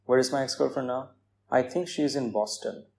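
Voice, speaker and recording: A man whose first language is Bangla, hosting and narrating with a measured, explanatory delivery. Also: mid-range voice, gravelly, darkish tone, slightly rough. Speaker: podcast host and narrator. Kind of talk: scripted narration and casual interview.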